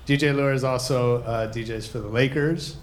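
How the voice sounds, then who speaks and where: A man's voice: medium pitch, steady tone, fairly quick, crowd noise. A man hosting a live podcast at a hotel event, casual and joking, with lots of ums and uhs. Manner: casual, filler-heavy, joking. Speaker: podcast host.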